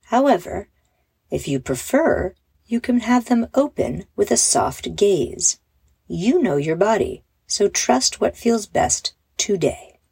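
A woman leading a guided meditation for children in a soft, breathy voice, with a soothing, gentle and encouraging manner. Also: mid-range voice, very animated, takes it slowly, no echo. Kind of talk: guided meditation, scripted narration.

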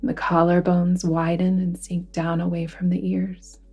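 A woman guiding a relaxation meditation in a soothing, deliberate manner, with phrases that sometimes fall to a hush. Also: lowish voice, monotone, breathy edge, faint music behind. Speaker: yoga nidra guide. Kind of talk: guided relaxation meditation narration.

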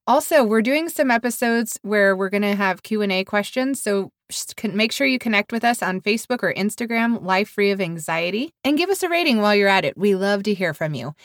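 A woman's voice: mid-range voice, expressive, brisk, hardly any pauses, dry recording, clean studio sound. A woman co-hosting a podcast in casual chat, a little nasal from a cold, chatty and giggly. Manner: chatty, giggly, self-deprecating. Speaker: podcast co-host.

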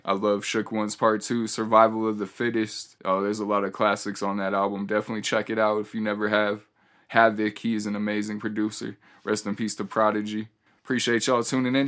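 Male podcast host, lowish register, flat intonation, laid-back solo delivery with hip-hop slang. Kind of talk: casual podcast monologue with read-out passages.

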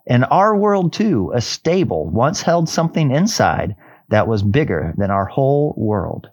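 A man's voice: medium pitch, sing-song, measured pace, darkish tone, highs cut off. A man voicing a kindly young queen in a storybook reading, earnest and pleading. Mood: wondering.

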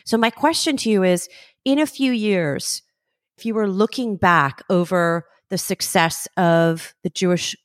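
A woman's voice: lowish voice, no room sound, clean studio sound. A woman interviewing a guest, asking questions in a conversational way and following up on his answers.

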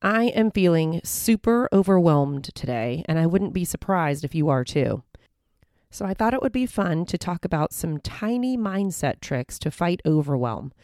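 A woman in her forties, slightly hoarse, hosting a self-help podcast in a bubbly, encouraging way. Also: fairly low pitch, very animated, normal speed, a little mellow, no room sound, clean studio sound. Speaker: podcast host.

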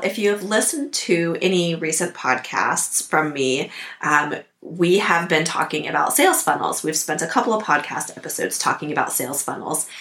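Hoarse lady podcaster w a cold, lowish voice, upbeat practical coaching, roomy echo. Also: fairly bright tone. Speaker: podcast host. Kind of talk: instructional podcast talk.

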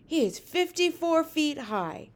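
Friendly storybook giant man, acted by a woman, folksy, earnest, gentle, nervous. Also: high-pitched, very animated, slow pace, voice pushed up, full character voice. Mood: nervous hushed urgency. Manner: mangled folksy grammar, earnest. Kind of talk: storybook read-aloud character voice.

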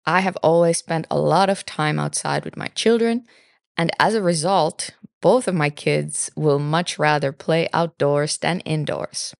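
A woman from Finland in her thirties, a native Finnish speaker, co-hosting a podcast with calm, explanatory reading. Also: sits low, a little slow, gravelly, no room sound, studio quality. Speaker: podcast co-host. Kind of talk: scripted podcast narration.

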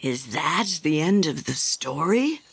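A woman's voice: low-pitched, sing-song, slow pace, vocal fry, breathy edge, voice pushed down, exaggerated voice. A woman voicing a self-important, gossipy water rat with a haughty, know-it-all manner.

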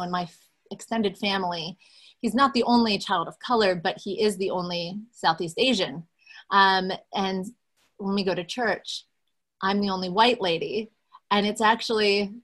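A woman's voice: fairly low pitch, a bit bright. A woman, telling her story candidly as an interview guest with many fillers. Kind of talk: conversational interview, personal story.

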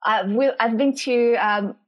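A Brazilian woman speaking English as a second language, answering interview questions in a relaxed chat and often pausing to search for words. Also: a little high. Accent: Brazilian Portuguese. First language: Portuguese.

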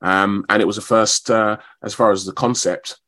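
A man, talking as a guest in a radio interview in a modest, measured, slightly halting way. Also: fairly low pitch, steady tone, fairly bright tone. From London, England. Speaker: actor, radio show guest.

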